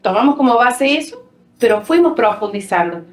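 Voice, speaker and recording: A woman's voice: on the high side, sing-song, moderate pace. Matter-of-fact Argentine woman, roomy sound. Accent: Argentine Spanish. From San Pedro, Argentina. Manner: plain, matter-of-fact explaining. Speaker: guest, co-author.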